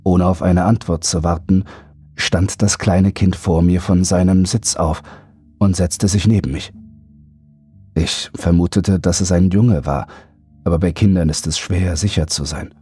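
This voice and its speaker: Horror audiobook narrator, male, very low and dark mellow voice, faint music underneath. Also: fairly even intonation, measured pace, dry recording. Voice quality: deep, husky, mellow. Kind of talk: scripted first-person horror narration.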